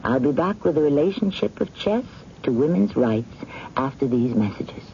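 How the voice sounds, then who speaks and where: A man's voice: on the high side, animated, normal speed, vocal fry, background noise. A man hosting a 1970s radio drama, reading scripted narration in a theatrical, droll way. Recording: dull lo-fi radio broadcast, music behind.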